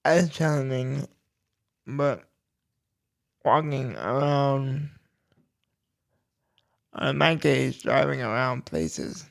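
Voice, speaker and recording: A young man hosting his own podcast, talking slowly and deliberately about everyday life, with pauses and restarts. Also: mid-range voice, animated, vocal fry. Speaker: podcast host. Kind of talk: casual personal talk.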